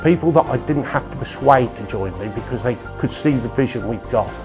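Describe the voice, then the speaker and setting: A man's voice: medium pitch, a little fast. An older British man speaking earnestly and emphatically in a promotional interview as a company owner. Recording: music behind the voice. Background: faint music.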